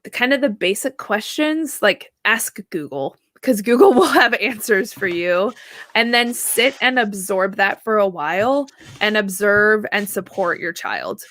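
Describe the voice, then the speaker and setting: Bright, animated woman in her thirties. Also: mid-range voice, unhurried. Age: 30s. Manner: animated, laughs easily, says "right?" often. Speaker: therapist guest. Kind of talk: conversational interview answer.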